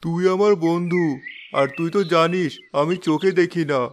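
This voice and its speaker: A man voicing a humble, trusting blind village father in an animated moral story, speaking earnestly. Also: a little high, moderate pace, a little hiss or hum, natural voice. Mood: earnest pleading.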